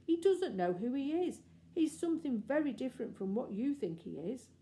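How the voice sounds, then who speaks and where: A woman's voice: high-pitched, sing-song, moderate pace, mellow, voice pushed up. A woman voicing an earnest, protective older sister, a young girl, speaking urgently and insistently in a storybook. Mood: reckless.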